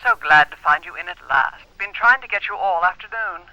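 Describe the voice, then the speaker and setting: A prim funeral secretary, very bright sharp voice, fairly high, insistent. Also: male voice, expressive, fairly quick, highs cut off, a little hiss or hum, heavily acted. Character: overly polite funeral home secretary. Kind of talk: radio drama acting.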